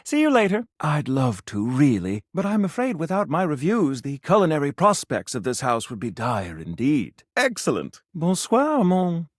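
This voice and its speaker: A pompous gourmet critic for a video game. His delivery is florid and theatrical, with very animated pitch and cultured diction, relishing each word like a true romantic. The recording is close and dry. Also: normal speed, few pauses, dry recording. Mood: affable. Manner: florid, grandiloquent, theatrical, savoring words. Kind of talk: video game character voice acting.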